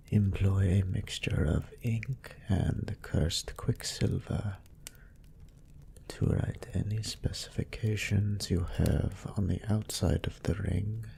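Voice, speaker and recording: A man playing a smug, lustful demon incubus in an audio roleplay, musing languidly and sardonically in a gravelly, breathy voice. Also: sits low, mellow, slight background noise, exaggerated voice. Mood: focused, murmuring. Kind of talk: scripted character monologue in audio roleplay.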